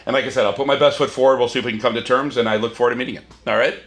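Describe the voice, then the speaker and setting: A middle-aged man from the area, a car buyer negotiating over the phone in a brisk, upbeat salesman manner. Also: medium pitch, fairly even intonation, fairly bright tone. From Chicago area, USA.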